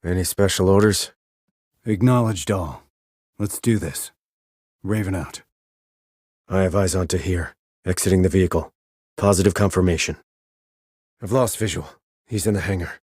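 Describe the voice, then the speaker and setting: An actor playing a terse, cool-headed sniper operative in a video game, with clipped, controlled military delivery and a low husky voice. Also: male voice, moderate pace, pauses often, darkish tone, no echo, clean studio sound. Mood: focused, controlled. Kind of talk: military radio chatter, game dialogue.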